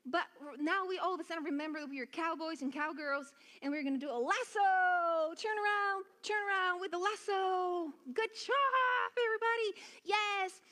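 Very high-voiced encouraging woman teaching children. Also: normal speed, hardly any pauses, sharp tone. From Bulgaria. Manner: encouraging, simple step-by-step instructions. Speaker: children's worship song and dance leader. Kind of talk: children's church lesson with call and response.

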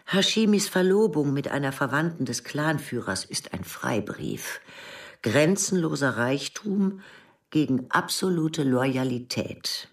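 An actress playing a motherly, educated intelligence chief in her early sixties in a radio drama, speaking in a measured, deliberate way. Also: low voice, animated, recorded from a distance. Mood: controlled, matter-of-fact. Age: older. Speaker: actor in radio play. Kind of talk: German radio drama dialogue.

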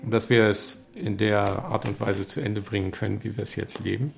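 A woman talking casually and reflectively, in measured, deliberate phrases. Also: rumbling low, steady tone, vocal fry. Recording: echoey room, faint noise.

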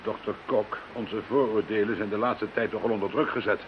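An actor playing a stubborn, down-to-earth, sceptical policeman in a Dutch audio drama, with a gruff, sardonic way of talking. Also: on the low side, steady tone, brisk, natural voice. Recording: dull, noisy, hissy old radio recording.

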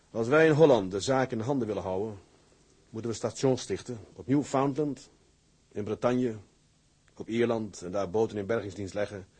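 Radio drama casting: a hardened tug captain, lowish husky voice, proud, resolute, forceful and measured. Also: male voice. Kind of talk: Dutch radio play acting.

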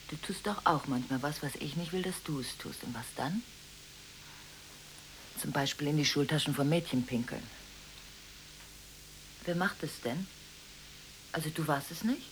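Radio play casting: emotionally contradictory wife on the telephone, lowish female voice, breathy and abruptly changeable, on a slightly hissy eighties recording. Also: low-quality recording. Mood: worried, reproachful. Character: volatile, emotionally contradictory married woman on frantic phone calls. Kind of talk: 1980s German radio drama monologue.

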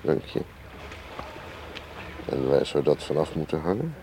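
An actor playing a suave, cagey foreign spy in a radio play, teasing and unhurried, with an ironic way of talking. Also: male voice, a bass voice, sing-song, heavily acted. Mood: dry teasing. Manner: ironic, leisurely, ends remarks with questioning tags. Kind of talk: radio drama acting. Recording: clearly hissy old radio play recording.

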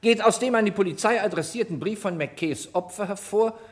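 An actor playing a sharp, relentless prosecutor in a German radio crime drama, cross-examining with probing sarcasm. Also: male voice, sits high, brisk, lightly acted. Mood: formal.

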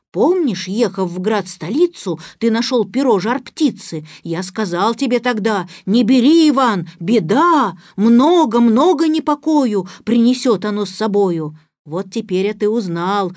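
A woman's voice: mid-range voice, animated, a little slow, darkish tone, no room sound, clean studio sound, close to an everyday voice. A woman voicing a loyal little magic horse in a verse fairy tale, tender, coaxing and wisely advising. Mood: chiding, reassuring. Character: loyal, caring little magic humpbacked horse, wise and gently chiding.

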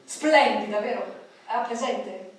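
A woman's voice: mid-range voice, takes it slowly, natural voice. An actress playing a chatty, neurotic left-wing bourgeois woman in an Italian radio drama, rambling and correcting herself with nervous laughter. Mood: chatty, flighty. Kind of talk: radio drama acting before an audience. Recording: echoey, distant microphone, faint noise.

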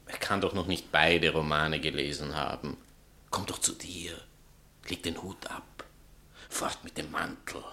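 A man's voice: extremely low pitch, steady tone, a little slow, pushed and bright, exaggerated voice. An actor playing a vain, glib male novelist in a 1960s German radio play, with a pompous, florid, theatrical delivery. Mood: tense, hushed. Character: vain, glib male novelist, cornered lover turned defiant.

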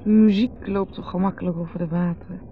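An actress with a Netherlands Dutch accent playing a dreamy, teasing wife who rambles and repeats herself in a radio drama. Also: female voice, on the low side, steady tone, quick pace, natural voice. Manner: rambling, repetitive, musing. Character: dreamy, rambling, teasing wife, drinking under a full moon. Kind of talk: 1970s radio drama acting. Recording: dull old radio recording with music.

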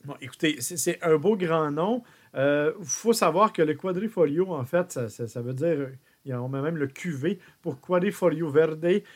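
A man hosting a car radio show, talking casually and often pausing on "euh" and repeated words. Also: fairly high pitch, a little mellow. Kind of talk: car review radio talk.

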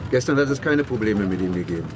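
An actor playing a terse army major on surveillance in a radio crime drama, giving short instructions. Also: male voice, fairly low pitch, expressive, fast, natural voice. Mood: terse, watchful. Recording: noisy street and vehicle ambience.

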